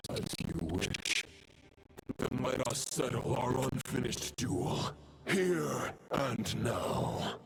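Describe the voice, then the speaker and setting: An actor playing a grave, proud and menacing ancient undead knight in a video game, speaking in formal, archaic declamation. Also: male voice, mid-range voice, animated, very slow, gravelly, heavily acted, rough recording. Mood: solemn. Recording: loud music behind.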